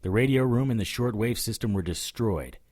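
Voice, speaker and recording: A man playing a hard-boiled occult detective in an audio drama, narrating and talking in a dry, sardonic way with a husky voice. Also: lowish voice, expressive, moderate pace, a little mellow. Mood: grim, determined. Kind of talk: first-person noir narration and dialogue in audio drama.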